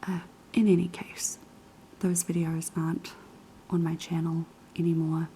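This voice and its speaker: Young NZ woman, raspy post-sickness whisper, slow ASMR delivery, dark mellow tone. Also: low voice, little pitch movement, a little hiss or hum, low-quality recording. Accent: New Zealand. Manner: soft, unhurried whispering with many ums. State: hoarse after being sick. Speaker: ASMR creator. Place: kitchen of a quiet flat.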